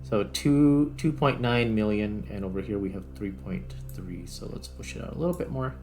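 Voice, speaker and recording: A man giving casual gameplay commentary, thinking aloud in short, halting phrases. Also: fairly low pitch, a little mellow, faint music behind. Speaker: gaming video host.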